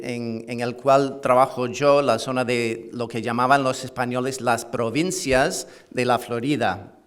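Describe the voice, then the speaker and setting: A man's voice: mid-range voice, fairly bright tone, clear, resonant tone. A middle-aged man, a university professor with an English-Canadian accent mixed with Andalusian Spanish, giving a conference lecture in his second language, with many "eh" fillers, restarts and dry self-deprecating humor. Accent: English-Canadian with Andalusian Spanish touches.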